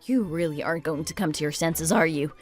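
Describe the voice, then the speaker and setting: A woman playing an indignant, sarcastic wife in a dramatized first-person story, with an expressive, exasperated delivery. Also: low-pitched, a little fast, soft background music. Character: indignant, sarcastic wife at the end of her patience.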